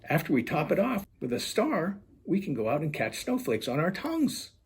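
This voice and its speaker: A man's voice: fairly high pitch, huge pitch swings, moderate pace, a little hiss or hum, pitched above the performer's own voice. A man voicing an eager, cheerful female animal in a children's storybook, coaxing and exclaiming.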